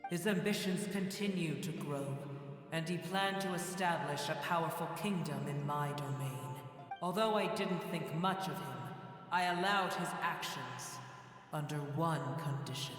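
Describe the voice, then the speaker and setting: An actor playing an ancient, bitter dragon, telling its history in grave, solemn game dialogue. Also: male voice, a bit bright. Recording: booming hall reverb with music. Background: game music.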